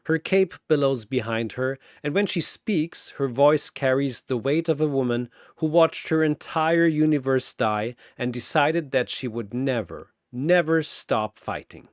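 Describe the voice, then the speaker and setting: Animated, slow-paced male narrator. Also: mid-range voice, dry recording, studio quality. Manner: theatrical, emphatic. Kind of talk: dramatic scripted battle narration.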